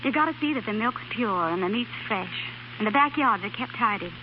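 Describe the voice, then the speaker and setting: A woman's voice: medium pitch, lively intonation, fairly quick, lightly acted. A woman acting a plucky, devoted young sweetheart in a 1930s radio drama, folksy, affectionate and plain-spoken. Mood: bright, proud. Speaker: radio actress. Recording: muffled, hissy 1930s radio broadcast.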